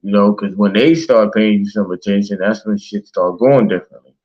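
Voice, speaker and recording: A man's voice: on the low side, a little fast, muffled recording. A man in casual, passionate conversation as a talk show host, speaking emphatically with slang and swearing. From Baltimore, United States.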